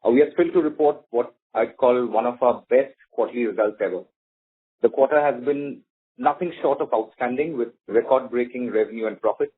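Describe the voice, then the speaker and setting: A man hosts a corporate earnings call, reading prepared remarks to introduce management. His voice is husky and mid-pitched. The sound is narrow and muffled, like a conference phone line. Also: fairly quick.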